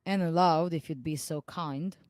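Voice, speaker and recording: A man voicing an impatient, sharp-tongued young woman in an audiobook. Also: on the high side, moderate pace, no echo, voice pushed up, natural voice. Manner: impatient and clipped. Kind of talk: audiobook character voice.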